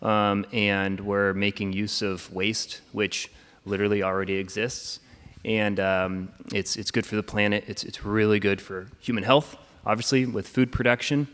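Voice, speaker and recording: A man's voice: fairly low pitch, normal speed, clear, resonant tone. Man explaining on a panel, hall reverb. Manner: relaxed explaining, filler-heavy. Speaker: panelist, company founder. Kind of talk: panel talk.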